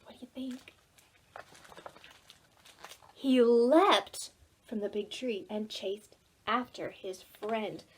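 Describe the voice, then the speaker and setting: A woman reading a children's storybook aloud for preschoolers, dramatic and expressive, involving the young listeners. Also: on the high side, slow, long pauses, slightly rough. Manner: animated, dramatic, asks listeners questions. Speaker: children's library storytime reader.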